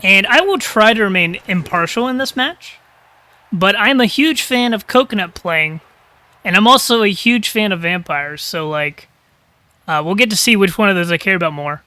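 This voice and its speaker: A man's voice: high voice, normal speed, sharp tone. A man casting a live tabletop-style video game match, chatting casually and thinking aloud, with a slightly crackly throat. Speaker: caster.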